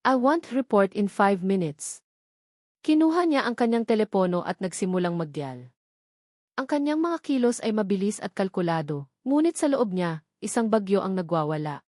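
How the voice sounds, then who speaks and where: A woman's voice: mid-range voice, sing-song, moderate pace, darkish tone, no room sound, studio quality. A woman narrating a scripted romance drama in an emotive, suspenseful storytelling style.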